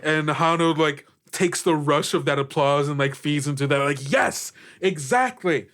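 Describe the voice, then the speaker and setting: A man improvising narration as game master of a tabletop game, informal and searching for his words in a halting way. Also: fairly high pitch, monotone, fairly bright tone, clear, resonant tone.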